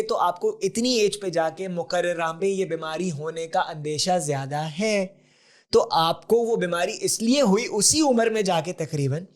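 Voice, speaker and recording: This is a man, a guest on a conversational podcast. He talks in an earnest, explanatory way and often drops English terms into his sentences. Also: high-pitched. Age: 40s. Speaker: podcast guest, health advocate. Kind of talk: conversational interview.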